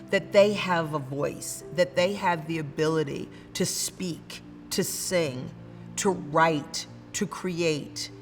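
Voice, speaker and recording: A woman's voice: on the low side, long reverb tail. A woman literature professor with a Northern US accent, speaking earnestly and building her points through repeated phrases. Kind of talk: dramatic reading then reflective commentary.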